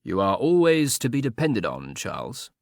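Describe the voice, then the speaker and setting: A man reading a lazy, ironic aristocratic gentleman who drawls his words dryly, in an audiobook. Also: medium pitch, wildly swooping pitch, moderate pace, creaky, dry recording, clean studio sound. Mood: drawling.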